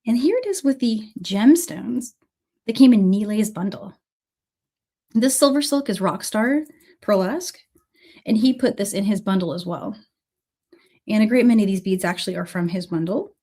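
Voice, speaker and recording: A woman's voice: mid-range voice, lively intonation, normal speed, long pauses, mellow. A woman with a cold, coughing now and then, hosting a casual livestream beading tutorial and chatting with viewers by name.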